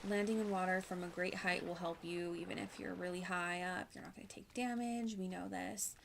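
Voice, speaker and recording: A woman's voice: lowish voice, fairly even intonation, brisk, gravelly, slight background noise. A woman livestreaming a video game with casual commentary, in a playful, gushing and informal manner. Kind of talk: casual live gameplay commentary. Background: music.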